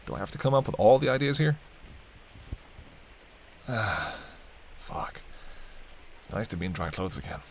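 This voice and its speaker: A man's voice: fairly low pitch, big pitch swings, moderate pace, long pauses, a little hiss or hum. A man playing a sardonic, teasing vampire in an audio roleplay, talking casually and wryly to the listener as a reluctant companion. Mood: exasperated, bantering. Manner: wry, bantering, casual, muttered asides. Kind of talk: intimate audio roleplay acting addressed to the listener.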